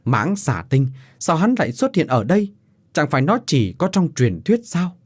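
A man's voice: fairly high pitch, sing-song, moderate pace, slightly rough, dry recording, clean studio sound, voice pushed up. A man voicing a cruel, scheming older woman who barks harsh orders and sneers. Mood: terrified.

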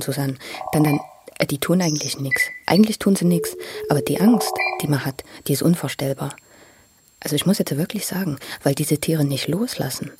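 A person, speaking haltingly and emotionally in a breathy, creaky voice that often drops to a whisper, repeating words and searching for the next one. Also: medium pitch, noisy background.

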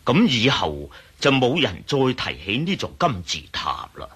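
An actor playing a talkative, cautious archaeology professor in a radio drama, with a Hong Kong Cantonese accent and hesitant, muttering delivery. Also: male voice, mid-range voice, huge pitch swings, normal speed, a bit bright, breathy edge, exaggerated voice. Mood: explaining, musing. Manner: hesitant, mumbling fillers, explanatory.

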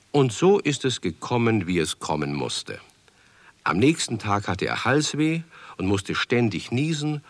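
A man narrating a children's radio play as a calm, wry storyteller. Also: on the low side, dry recording, heavily acted.

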